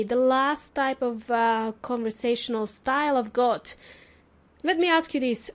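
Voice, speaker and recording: A woman whose native language is Serbian, hosting an English-teaching podcast in an upbeat, playful and chatty way, often asking her listeners questions. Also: high voice. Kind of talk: solo teaching podcast monologue.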